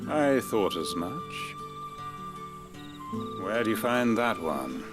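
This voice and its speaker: A man playing a shrewd, composed tavern keeper in a video game, speaking in a deliberate, formal manner. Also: lowish voice, sing-song, loud music behind the voice, heavily acted. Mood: shrewd interest. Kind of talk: dramatic video game cutscene acting.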